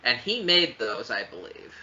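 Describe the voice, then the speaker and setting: A man co-hosting a podcast, chatting casually with opinions, halting and then emphatic. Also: medium pitch, sing-song, bright, forceful tone. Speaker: podcast co-host. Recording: dull audio with faint background noise.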